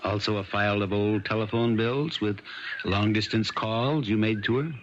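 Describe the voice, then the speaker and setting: An actor playing a shrewd, steady small-town sheriff in a 1970s radio mystery, questioning in a dry, measured, authoritative way. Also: male voice, fairly low pitch, natural voice. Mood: matter-of-fact. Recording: muffled, faintly noisy 1970s radio broadcast.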